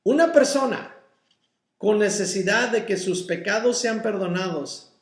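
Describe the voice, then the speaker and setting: A male pastor, a native English speaker, leads a Bible study in a high, gravelly voice. He teaches slowly and deliberately, pausing to check in with his listeners. The sound rings in an echoey church room. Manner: mixes in English words.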